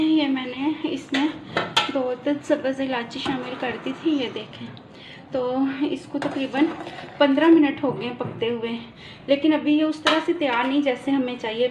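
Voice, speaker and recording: A woman with a high voice walks viewers through a home recipe, patiently explaining each step as she cooks. Soft kitchen sounds and clinking dishes stay faintly in the background. It is recorded on a phone in a home kitchen. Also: background noise. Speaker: cooking channel host. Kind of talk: step-by-step cooking demonstration.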